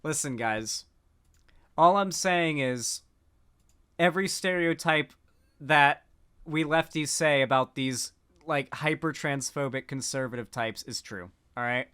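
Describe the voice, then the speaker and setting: A man's voice: on the high side, unhurried, sharp tone, smooth. A man giving casual political commentary, rambling with frequent restarts.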